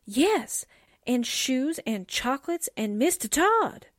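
A woman's voice: high-pitched, big pitch swings, takes it slowly, no echo, studio quality, heavily acted. A woman playing a plucky, suspicious young newspaper secretary in a theatrical 1940s radio drama style. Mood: sure, conspiratorial.

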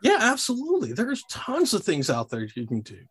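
Middle-aged male voice actor, animated, husky, fairly high speaking voice for a man, chatty interview tone. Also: fairly quick. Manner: animated, demonstrates character voices mid-sentence. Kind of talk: casual interview chat.

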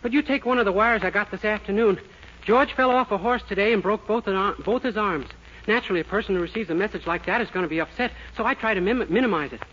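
An actor playing a naive, earnest, rather dim young clerk in 1940s radio sitcom style. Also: male voice, sits high, fast talker. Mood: earnest, cheerful. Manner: earnest, innocent. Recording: dull old radio recording, loud hiss, clicks.

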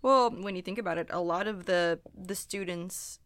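A young woman with an American accent interviews a guest. Her voice sits a little low, and she talks in a chatty, friendly way, often saying "like.". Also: normal speed, a bit bright. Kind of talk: casual interview.

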